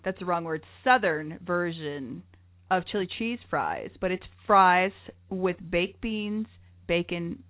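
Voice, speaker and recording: A woman co-hosting a travel show, talking in a bubbly, chatty way and laughing often. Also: on the low side. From lived in New York City. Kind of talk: casual chat. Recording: tinny phone-like line.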